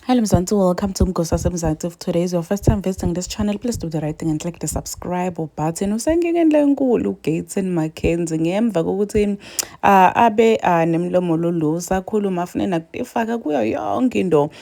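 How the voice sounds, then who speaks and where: A woman's voice: lowish voice, fairly quick, creaky, mellow. A woman with a South African accent hosting casual commentary in a chatty way, switching languages mid-sentence. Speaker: online commentary host.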